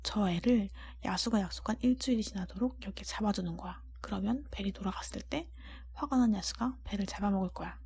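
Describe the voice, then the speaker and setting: A woman voicing a spiteful, scheming storybook sister in a conspiratorial, breathy whisper. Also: mid-range voice, quick pace, hardly any pauses, warm tone.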